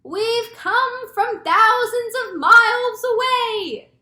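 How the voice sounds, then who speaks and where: A woman's voice: fairly high pitch, very animated, unhurried, a bit bright, roomy sound. A woman voicing a huge, mischievous, grinning male centipede in a children's story, with a cheeky manner. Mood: gleeful shouting.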